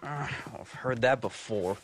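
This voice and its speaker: An actor playing a sulky, wry young frontier son in a video game, with an American accent and a plaintive, sardonic delivery. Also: male voice, mid-range voice, measured pace, background noise, lightly acted. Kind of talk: video game cutscene acting. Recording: music under the voice.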